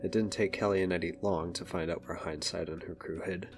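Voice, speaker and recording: A man's voice: low-pitched, fairly even intonation, a little fast, over music. A man narrating a scripted action story as the narrator of an audio drama.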